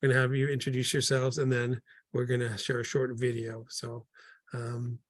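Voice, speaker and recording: A man's voice: mid-range voice, completely flat delivery, normal speed, no room sound. A man moderating a panel on a video call, welcoming the guests with frequent fillers and repeated words.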